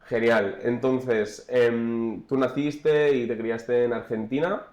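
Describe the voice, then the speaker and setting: A man's voice: mid-range voice, fairly even intonation, takes it slowly, darkish tone, muffled recording. Male podcast host in an echoey room. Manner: leads with follow-up questions, short agreeing interjections. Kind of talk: podcast interview. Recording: roomy, echoey room sound.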